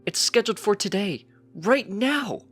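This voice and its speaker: A man's voice: high voice, lively intonation, a little slow, a bit bright, husky, faint music behind, voice pushed up, heavily acted. A man voicing a careful, fretful female pony, a precise and chiding wife in a storybook reading. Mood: anxious.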